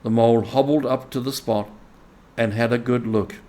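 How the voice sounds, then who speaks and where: A man's voice: medium pitch, steady tone, moderate pace, mellow. A man reading a story aloud as scripted narration, as an audiobook narrator.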